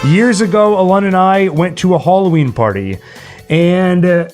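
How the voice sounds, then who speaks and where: A man's voice: a little high, darkish tone. A man hosting a casual livestream, chatting in a jokey, rambling way. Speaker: livestream host. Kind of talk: casual livestream chat and sign-off. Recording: music playing behind the voice. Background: Halloween novelty songs.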